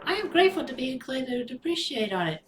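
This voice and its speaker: A woman voicing a cheerful young woman who volunteers in her community, talking in a high, quick, eager way. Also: big pitch swings, a little hiss or hum. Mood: earnest. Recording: echoey room.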